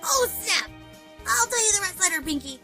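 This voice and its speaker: A woman's voice: extremely high pitch, expressive, normal speed, sharp tone, faint music behind, full character voice. A woman voicing a cocky, teasing young female pegasus pony in a cartoon-style audio drama. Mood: teasing, playful. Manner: brash, teasing.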